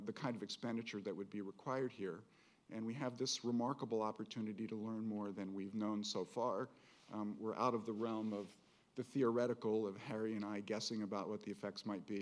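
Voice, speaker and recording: Measured man speaking at a policy forum. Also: fairly low pitch. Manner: measured, hesitant with fillers. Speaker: panelist. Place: conference hall.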